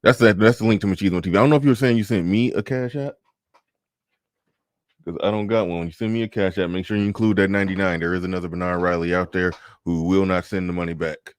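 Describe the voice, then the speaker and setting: Laid-back low-voiced man, dry close sound. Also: steady tone, fast talker, dry recording. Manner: laid-back, laughs often, drawls. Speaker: livestream host. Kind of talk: casual livestream chat reading comments.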